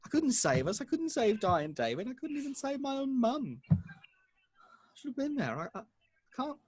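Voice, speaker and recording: A defeated young man acted out by a man in a horror-comedy table read. His delivery swings widely in pitch, halting and exasperated, and the sound is close and dry. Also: very animated, slightly rough, dry recording, heavily acted. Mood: despairing. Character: defeated self-blaming young man facing zombie siege.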